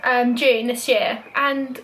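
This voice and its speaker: A woman's voice: a little high, little pitch movement, takes it slowly, fairly bright tone, slight background noise, poor audio. A young British woman vlogging, talking casually and openly with many "like" fillers. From Britain. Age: young adult. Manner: chatty, lots of "like" fillers. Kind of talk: casual chat.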